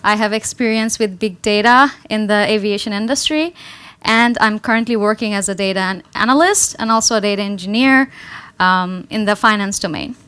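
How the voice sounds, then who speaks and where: Young woman, smooth clear voice, presenting, tags "right?" often. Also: mid-range voice, a little fast, a bit bright. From Bangladesh. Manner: adds tag "right?" often. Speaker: data analyst and engineer, speaker. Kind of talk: presentation talk.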